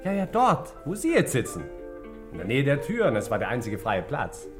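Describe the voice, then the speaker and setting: A wry bar owner, swooping intonation. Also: male voice, medium pitch, huge pitch swings, moderate pace, over music. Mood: offhand. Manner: evasive, sardonic, offhand answers. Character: cagey, wry male bar owner with shady past. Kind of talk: German radio crime drama acting.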